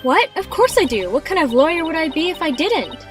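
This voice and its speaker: A woman's voice: very high voice, very animated, brisk. A woman playing a perky, feisty young rookie lawyer in a game fan dub, with an eager, instructive delivery. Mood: indignant. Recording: music under the voice. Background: music.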